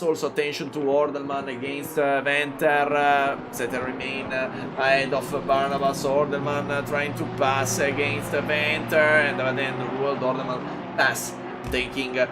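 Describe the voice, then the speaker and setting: A man from Italy with an Italian accent, calling a live sim race in halting English with many fillers and excited jumps. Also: mid-range voice, loud music behind the voice. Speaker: race commentator. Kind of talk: live sim racing commentary.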